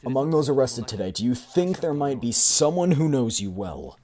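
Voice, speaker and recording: Man playing a firm, mellow military commander. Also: medium pitch, sing-song, moderate pace, lightly acted. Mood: calm, firm. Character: calm, firm military commander urging action. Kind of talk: video game character dialogue.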